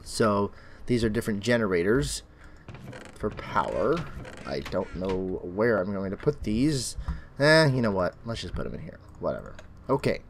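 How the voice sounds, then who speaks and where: A young man hosting his own Minecraft let's play, chatting as he plays, his voice crackly and bass-heavy from illness. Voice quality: extra bass from an allergic reaction. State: sick, crackly voice. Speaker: gaming channel host.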